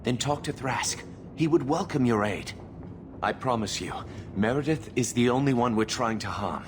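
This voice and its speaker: Game rebel mage, male actor: medium-pitched, anxious, halting, earnest pleading over clear background rumble. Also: expressive, natural voice. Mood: earnest urging. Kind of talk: dramatic video game dialogue.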